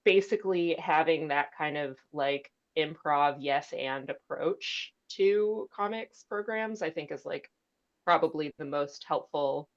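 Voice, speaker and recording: A woman's voice: deep voice, a little slow. A woman speaking casually on a librarians' panel, sprinkling in "like", on slightly dull audio. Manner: casual, frequent "like". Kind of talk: informal panel discussion.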